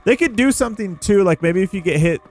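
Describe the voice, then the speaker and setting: A man giving casual gameplay commentary, thinking out loud in an informal way. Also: high-pitched, animated, fast. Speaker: gaming video creator. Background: background music.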